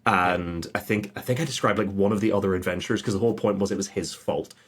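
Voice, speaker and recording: A husky-voiced man chatting casually and anecdotally as a game master guest. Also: low-pitched, quick pace, slight background noise. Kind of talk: casual anecdotal chat, with scripted dramatic trailer narration.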